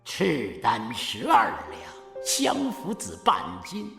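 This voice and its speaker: An actor playing an imperious old Taoist alchemist obsessed with immortality, pompous and incantatory, in a Chinese audio drama. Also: male voice, on the high side, wildly swooping pitch, slow pace, creaky, sharp tone, exaggerated voice. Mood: haughty, instructing. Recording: echoey cavernous hall, music behind.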